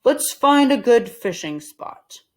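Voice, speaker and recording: Woman acting exasperated, bossy storybook husband, drawn-out. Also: fairly high pitch, sing-song, voice pushed up.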